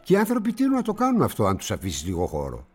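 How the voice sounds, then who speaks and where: Older male publisher for radio drama: cynical, patronizing, very animated pitch, music behind. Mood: lecturing. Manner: theatrical, patronizing, with musing pauses. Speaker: actor.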